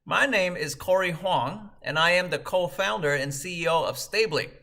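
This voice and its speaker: A man in his thirties, originally from Vietnam, delivering a measured, scripted video message as a company co-founder. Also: high-pitched, vocal fry, sharp tone. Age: 30s. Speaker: startup co-founder and CEO.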